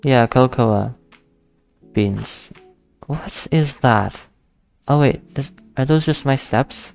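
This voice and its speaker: A young man who is not a native English speaker, hosting a casual gaming let's play, thinking aloud with hesitant fillers and counting softly to himself. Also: medium pitch, slow, creaky, smooth. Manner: hesitant, thinking aloud, counting under his breath, nervous laughs, easily spooked. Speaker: gaming channel host. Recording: faint background music under the voice. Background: soft background music.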